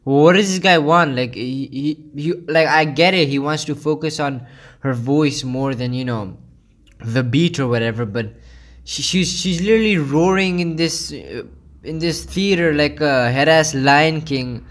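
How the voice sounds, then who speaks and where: A man's voice: a little high, measured pace. A young man from Pakistan, giving sarcastic, rambling comedic commentary on a video. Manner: sarcastic, mocking, rambling with many "like"s.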